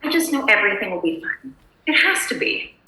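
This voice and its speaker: A woman's voice: mid-range voice, sing-song, a little fast, sharp tone. A woman acting a frazzled suburban housewife at a screenplay table read, insistent, nervous and desperately polite with brittle cheer. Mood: strained optimism. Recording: very echoey room recording.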